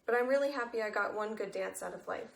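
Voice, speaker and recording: Woman as teenage girl in a one-act drama, regretful and wry, gravelly fry, roomy echo. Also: medium pitch, fast, close to an everyday voice. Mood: wistful, regretful. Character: dead teenage girl, depressed, wry and regretful, writing letters. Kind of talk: one-act play letter monologue. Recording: roomy echoing sound.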